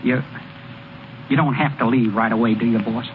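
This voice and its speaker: A man playing a naive, folksy American baseball pitcher who stammers in ungrammatical speech, in old-time radio drama. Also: fairly even intonation, moderate pace, narrow, tinny audio, natural voice. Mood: dejected, tender. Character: naive, boastful-turned-dejected hayseed male baseball pitcher. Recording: thin, noisy vintage radio broadcast recording.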